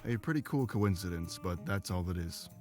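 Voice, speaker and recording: Low-voiced, guarded, loyal adventurer. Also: male voice, expressive, moderate pace, darkish tone, faint music behind. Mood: reluctant, matter-of-fact. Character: guarded, loyal male adventurer, plain-spoken travel companion. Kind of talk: scripted visual novel dialogue.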